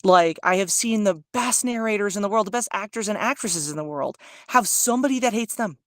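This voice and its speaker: A woman with a low register and a constant gravelly fry in her voice, talking candidly and with self-deprecating humor. Her speech is loose and chatty, full of fillers and quick restarts. The sound is dry and close, with no room around it. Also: a bit bright, no room sound. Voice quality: low voice with heavy vocal fry. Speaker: audiobook narrator. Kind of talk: casual interview answers.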